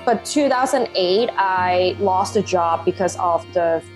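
A woman in her thirties from Hong Kong, a Cantonese native speaker with a Hong Kong English accent, telling her story as a podcast guest in animated, laugh-filled talk. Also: fairly low pitch, measured pace, soft background music, poor audio. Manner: animated, laughs often, non-native phrasing. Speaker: guest, life coach. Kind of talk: podcast interview.

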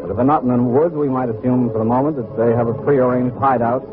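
An actor playing a weary, methodical FBI agent, speaking in a measured, businesslike way in an old-time radio drama. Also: male voice, mid-range voice, fairly even intonation, over music, natural voice. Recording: tinny old radio recording.

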